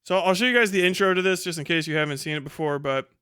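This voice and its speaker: A man's voice: high-pitched, fast talker, background noise. A man hosting a casual livestream, reading viewer comments and riffing in a profane, sardonic way. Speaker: livestream host.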